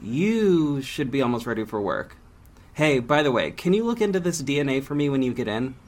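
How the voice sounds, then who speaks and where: A man speaking drama dialogue in a brisk, evasive, joking way. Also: medium pitch, big pitch swings. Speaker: lead character in dialogue.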